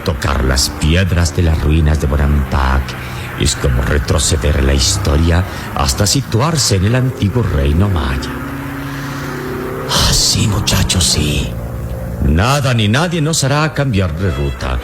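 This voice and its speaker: Very deep-voiced noble adventure hero. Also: male voice, lively intonation, unhurried, over loud music. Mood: rapturous, adventurous. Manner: solemn, eloquent, theatrical. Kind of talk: old Latin American radio serial acting. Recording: noisy old radio serial recording.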